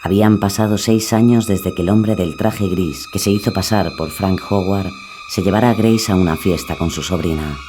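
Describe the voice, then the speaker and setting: Deep male dramatic narrator. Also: normal speed, mellow, slight background noise. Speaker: story narrator.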